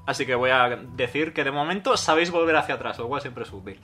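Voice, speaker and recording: A man narrating as game master of a tabletop game, calm and explanatory with an occasional joke. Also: mid-range voice, fast talker, soft background music.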